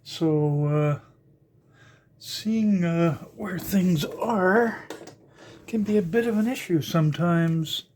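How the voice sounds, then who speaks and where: An older electronics hobbyist giving a casual, unscripted teardown narration, halting and musing aloud while working with their hands. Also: mid-range voice, darkish tone, a little hiss or hum. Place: indoors at a workbench.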